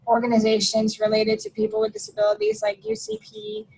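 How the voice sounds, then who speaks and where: A woman's voice: a little high, sing-song, fairly quick, vocal fry, a little mellow, husky, low-quality recording. A woman in her thirties from Texas, introducing herself in a friendly, matter-of-fact way. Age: 30s. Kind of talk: self-introduction.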